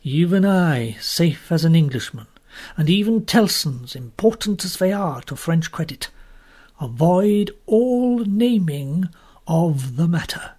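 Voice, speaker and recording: A cautious elderly gentleman banker speaks in measured, formal sentences, as an audiobook reader plays him. The delivery moves lively up and down, with a slightly breathy tone. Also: mid-range voice. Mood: discreet. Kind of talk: audiobook character voice.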